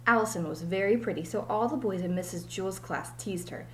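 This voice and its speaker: A woman's voice: mid-range voice, brisk, slight background noise. A woman reads a children's storybook aloud like a teacher. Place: small home room, indoors. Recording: echoey room, webcam mic.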